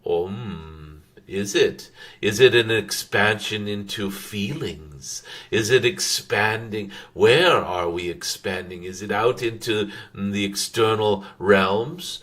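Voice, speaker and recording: A man voicing a wise, serene dragon spirit in a channeled spiritual message, halting and contemplative with frequent hums and formal phrasing. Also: fairly low pitch, fairly bright tone. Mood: musing.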